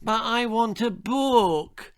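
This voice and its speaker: A man's voice: very high voice, clean tone, voice pushed up, full character voice. A man voicing a bored, timid four-year-old boy in a children's story, whining and drawing out his pleas. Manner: whining, drawn-out pleading.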